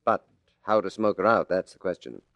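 An actor playing a hard-boiled, shrewd, musing police detective in an old-time radio mystery. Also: male voice, low voice, lively intonation, moderate pace, a bit bright, no echo, muffled recording. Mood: musing, probing.

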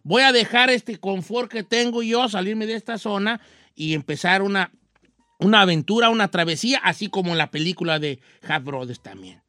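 A man's voice: sits high, moderate pace, sharp tone, no echo, clean studio sound. A man hosting an interview, chatty and joking, rambling with many fillers. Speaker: radio host. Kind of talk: casual chat.

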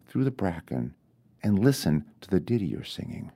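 Mellow, expressive male author reading fiction. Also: low-pitched, gravelly, breathy edge. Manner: deliberate, dramatic pauses. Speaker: author reading own story. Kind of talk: literary storytelling, scripted narration.

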